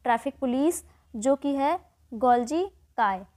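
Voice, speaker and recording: High-pitched Indian woman teacher. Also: animated, slow, a little mellow. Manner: explanatory, repeats and rephrases key points. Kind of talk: exam lesson, explaining quiz questions and answers.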